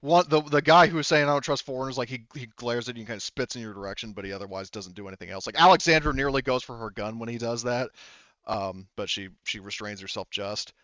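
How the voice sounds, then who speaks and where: A man narrating a tabletop roleplaying game casually as game master, in a halting, conversational way with repeated words. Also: medium pitch, bright, forceful tone, no room sound, lo-fi sound.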